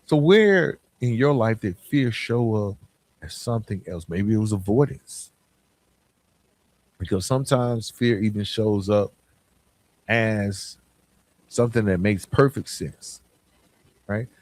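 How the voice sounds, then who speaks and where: A man's voice: fairly low pitch, takes it slowly, long pauses, darkish tone. An American man hosting a podcast, delivering an informal motivational monologue that addresses the listener directly with rhetorical questions. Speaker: podcast host.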